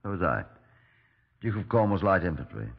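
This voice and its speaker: An actor playing a measured, courteous middle-aged British Scotland Yard superintendent, narrating and questioning in a 1950s radio drama. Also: male voice, low voice, sing-song, gravelly, slightly rough, full character voice. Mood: measured, probing. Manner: measured, polite, probing. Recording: muffled 1950s radio recording.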